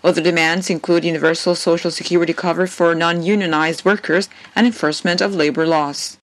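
A woman reading a radio news bulletin in a steady, formal delivery. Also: on the low side, a little fast. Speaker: news reader.

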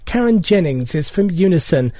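A man's voice: high voice, expressive, moderate pace, dark tone, dry recording. A man delivering a scripted radio news report as a correspondent.

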